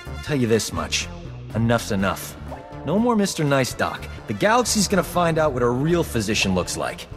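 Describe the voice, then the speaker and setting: An actor playing a cocky, flirtatious, boastful rogue doctor in a sci-fi video game, glib and smooth-talking. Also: male voice, medium pitch, huge pitch swings, moderate pace, long reverb tail, soft background music. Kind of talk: video game dialogue acting.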